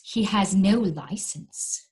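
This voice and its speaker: A woman voicing a practical, thrifty neighbour lady in a storybook, matter-of-fact and drawn-out. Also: medium pitch, darkish tone, breathy edge, low-quality recording. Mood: firm.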